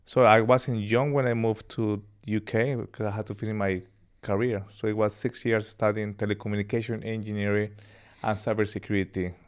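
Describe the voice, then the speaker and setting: A man in his thirties from Colombia with a Colombian accent and Spanish as his first language, telling his life story as a podcast guest in a relaxed, rambling way. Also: lowish voice, a little fast, hardly any pauses, smooth. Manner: relaxed, laughs easily, rambling anecdotes. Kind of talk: casual interview storytelling.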